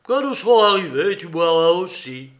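A man voicing a boisterous, mocking old father who shouts and jeers theatrically. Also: high voice, sing-song, normal speed, voice pushed up. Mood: wheedling.

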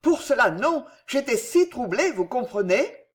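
Woman acting a flustered, high-pitched old woman. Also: very animated, a little slow. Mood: frightened. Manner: voluble, protesting, pleading. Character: flustered, devout, gossipy old woman, anxious to please. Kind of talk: storybook character acting.

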